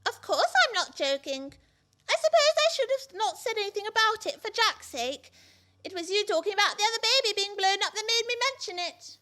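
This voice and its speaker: A woman's voice: very high voice, animated, a little fast, bright, forceful tone, heavily acted. A woman playing a naive, guileless girl in a farcical radio play, chattering earnestly and dramatically. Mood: innocently matter-of-fact. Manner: earnest, wide-eyed, theatrical. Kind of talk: stage farce performed as radio play.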